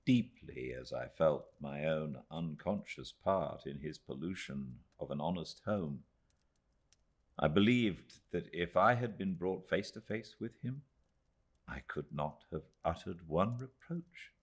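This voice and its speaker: A British man reading a literary audiobook in measured, dramatic phrases with deliberate pauses. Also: a bass voice, lively intonation, husky. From Britain. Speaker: audiobook narrator.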